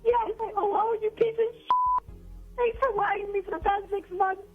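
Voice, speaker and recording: A woman's voice: very high voice, huge pitch swings, normal speed. A woman on a phone call to a radio show, angry and upset. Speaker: caller. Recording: tinny phone line with music.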